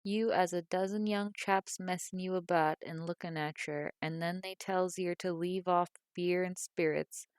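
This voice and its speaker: A woman's voice: steady tone, moderate pace, hardly any pauses, dry recording, studio quality, natural voice. A woman voicing a cantankerous, self-pitying old working-class mother with a Cockney accent, complaining and scolding. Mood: grumbling. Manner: complaining, shrill when angry.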